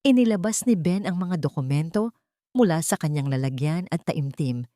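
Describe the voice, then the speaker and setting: A woman reads a scripted dramatic story as narrator, in a measured, literary storytelling manner. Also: deep voice, animated, mellow, dry recording, clean studio sound.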